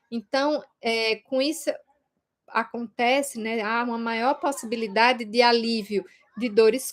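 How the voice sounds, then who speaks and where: A woman with a Brazilian Portuguese accent speaks in a fairly high register. She teaches as if chatting directly with her students, explaining informally and adding little tag questions to check that they follow. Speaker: Reiki course teacher.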